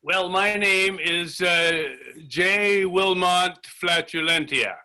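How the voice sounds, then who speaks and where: A man playing a pompous, eccentric, over-cheerful job candidate with theatrical, drawn-out delivery in an improvised comedy sketch. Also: high voice, pushed and bright, smooth, exaggerated voice. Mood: pompous, cheery. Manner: theatrical, emphatic, drawn-out enunciation.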